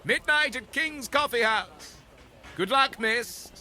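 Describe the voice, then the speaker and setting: An actor playing a vain, blustering privateer captain in a period audio drama, emphatic and quick to take offence. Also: male voice, very high-pitched, animated, sharp tone, slight background noise, full character voice. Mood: evasive, urgent. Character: vain, indignant pirate-hunting sea captain, self-styled privateer hero.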